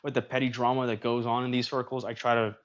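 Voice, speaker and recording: Male stream host, chatty commentator reading posts aloud, mid-pitched, somewhat lo-fi sound. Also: little pitch movement, fast talker. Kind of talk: casual livestream commentary.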